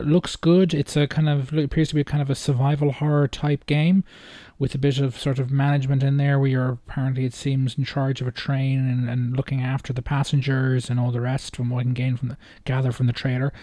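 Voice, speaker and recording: A man giving casual, off-the-cuff video commentary in a hesitant, rambling way with halting phrasing. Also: mid-range voice, warm tone, dry recording. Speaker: video host reacting to game trailers.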